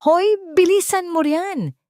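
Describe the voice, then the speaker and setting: A woman voicing a bossy young supervisor in an audio drama, shouting scornfully. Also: very high voice, lively intonation, unhurried, dry recording, clean studio sound, voice pushed up. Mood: scornful anger. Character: bossy young supervisor barking orders at older worker.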